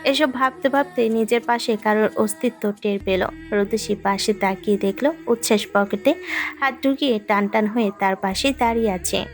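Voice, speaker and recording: A woman's voice: mid-range voice, moderate pace. A woman reading a scripted romantic story as its narrator. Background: background music.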